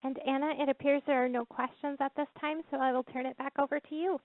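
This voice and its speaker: A woman's voice: sits high, little pitch movement, fast talker, no echo. A woman working as a call operator, giving formal, courteous scripted announcements. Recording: narrow-band telephone conference line.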